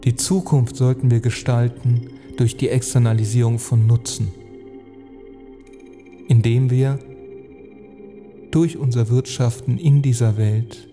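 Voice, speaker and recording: A man narrating an earnest, reflective spoken essay, phrasing deliberately and emphatically with rhetorical weight. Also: medium pitch. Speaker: speaker and author on climate and sustainability. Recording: long reverb, music underneath. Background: music.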